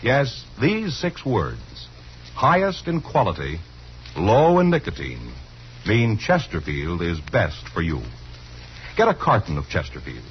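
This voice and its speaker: A man with an American accent reading a 1950s radio commercial with emphatic, measured salesmanship. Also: fairly low pitch, huge pitch swings. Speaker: commercial announcer. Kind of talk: 1950s radio advertisement read. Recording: dull old radio broadcast, faint vehicle noise. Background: faint truck engine noise.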